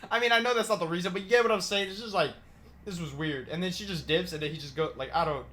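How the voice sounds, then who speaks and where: A man's voice: sits high, quick pace, bright, forceful tone. A young adult man giving casual reaction commentary in a rambling, slangy, sweary way. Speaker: reaction video host.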